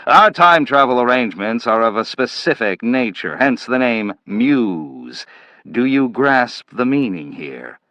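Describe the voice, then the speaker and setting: A man's voice: medium pitch, very animated, a little slow, bright, forceful tone, no room sound, exaggerated voice. A man voicing a brusque, intense head of a time-travel agency, speaking emphatically and impatiently in a story reading.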